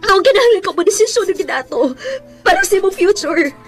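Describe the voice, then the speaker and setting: A woman's voice: extremely high pitch, lively intonation, quick pace, soft background music. A woman acting in a radio drama as an emotional, pleading mother hiding a painful past. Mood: tearful, anguished. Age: adult, mother of a school-age child. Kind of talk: radio drama acting in Bisaya with some English.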